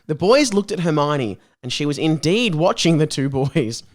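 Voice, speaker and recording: Giggly, lively high-pitched male podcaster. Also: a little slow. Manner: giggly, playful. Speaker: podcast host reading his own fan fiction. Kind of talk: casual comedic chat mixed with reading a story aloud.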